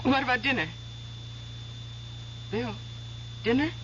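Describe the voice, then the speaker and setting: An inquisitive young wife, eager and chatty, with a bright, clear tone. Her voice comes through a muffled old radio recording with hiss. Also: fairly high pitch, takes it slowly. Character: curious young pregnant wife. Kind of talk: 1970s radio drama acting.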